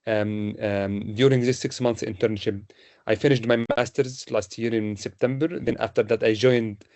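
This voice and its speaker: A man's voice: lowish voice, steady tone, normal speed, darkish tone. A man from Egypt with an Egyptian accent, giving a semi-formal presentation as an intern.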